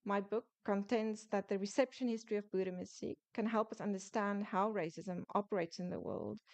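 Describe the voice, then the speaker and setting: A woman author presenting her book in a prepared academic lecture, reading in a measured way with small restarts. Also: mid-range voice, fairly even intonation, a little mellow. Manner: measured, reads closely from a script with small restarts.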